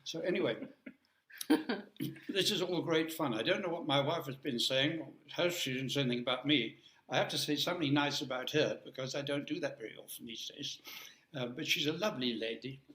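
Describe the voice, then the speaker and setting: An older man rambles through a light-hearted talk, full of jokes and asides. His voice sits a little high for a man. The room around him is noticeably echoey. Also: fairly quick, sharp tone, a little hiss or hum. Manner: chatty, jokey, rambling with asides. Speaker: guest speaker. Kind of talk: informal talk to an audience.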